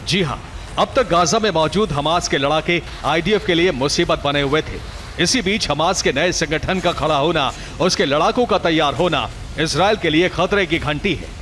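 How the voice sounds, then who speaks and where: A man's voice: fairly high pitch, normal speed, a bit bright, slight background noise. A man reading a dramatic scripted news voice-over in an emphatic, rhetorical style. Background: prominent music.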